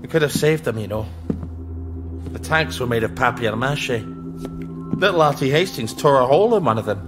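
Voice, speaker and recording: An actor playing a blunt, weary, sardonic rebel in a video game. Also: male voice, mid-range voice, lively intonation, normal speed, over music, close to an everyday voice. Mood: grave, weary. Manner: blunt, sardonic, foul-mouthed. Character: gruff, sardonic, weary rebel man named Ollie. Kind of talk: dramatic video game voice acting.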